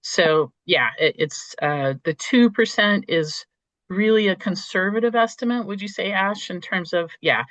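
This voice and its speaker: A meeting host with a cold and a croaky voice, giving enthusiastic remarks and halting often with fillers over a video call. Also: medium pitch, fairly bright tone, no room sound. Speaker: meeting host from a state forestry agency.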